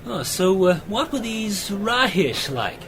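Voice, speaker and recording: A man acting as a curious, hesitant companion in a radio play, halting and full of questions. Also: high-pitched, sing-song, fairly bright tone, a little hiss or hum, full character voice. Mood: puzzled. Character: curious, hesitant male companion, puzzled by science.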